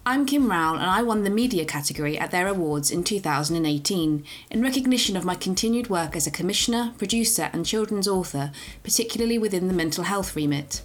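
A woman hosting a podcast, briskly reading a formal scripted introduction of her guest. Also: lowish voice, expressive. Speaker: podcast host.

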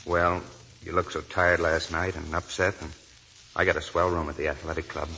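An actor playing a kind, bashful young lieutenant in a 1940s radio drama, speaking in halting, awkward phrases. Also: male voice, deep voice, little pitch movement, vocal fry, pushed and bright, exaggerated voice. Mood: shy, friendly. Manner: hesitant, halting. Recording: noisy vintage 1940s radio broadcast.

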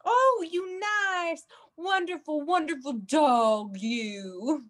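Very slow, high, gushing socialite date played by a woman. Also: fairly bright tone, exaggerated voice. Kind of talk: comedic radio play acting.

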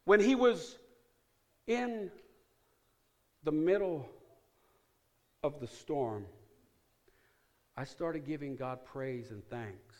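A middle-aged man preaching a sermon in a slightly raised register. He repeats his key lines for emphasis. His delivery moves between loud, rising calls to the congregation and quiet, hushed asides. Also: on the high side, animated, drawn-out words, pauses often. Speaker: pastor.

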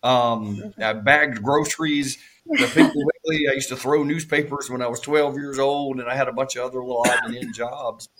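A middle-aged man with a cold-roughened, raspy voice, telling his story as a podcast guest with many fillers and restarts. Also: mid-range voice, moderate pace, a bit bright. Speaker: real estate investor guest. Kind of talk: casual storytelling interview.

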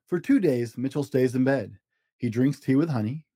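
A man hosting a children's show, reading a storybook aloud in simple, expressive language for young listeners. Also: mid-range voice, moderate pace, breathy edge, no room sound.

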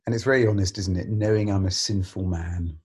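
A man's voice: low voice, a little fast, a little mellow, husky. A middle-aged man hosting a casual church podcast interview, asking questions and adding short reflections.

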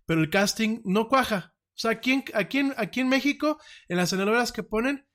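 A man's voice: extremely high pitch, expressive, a little fast, a bit bright. A man with a Mexican Spanish accent hosting a casual solo podcast, rambling chattily with restarts and fillers. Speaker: podcast host.

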